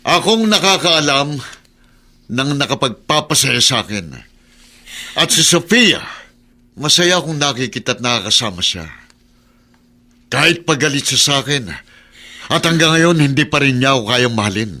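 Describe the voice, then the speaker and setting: A man's voice: mid-range voice, lively intonation, normal speed, long pauses. An actor playing a possessive, controlling, threatening man in a radio drama. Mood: angry, scolding. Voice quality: forceful. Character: obsessive, controlling, menacing man holding a woman captive.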